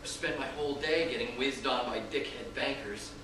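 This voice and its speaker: A man's voice: mid-range voice, moderate pace, a bit bright, a little hiss or hum, lightly acted. A man playing a harried, exasperated restaurant owner and husband in a staged reading, blunt and everyday, in an echoey room at a distance. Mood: bitter, deflated. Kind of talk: staged screenplay reading, naturalistic dialogue.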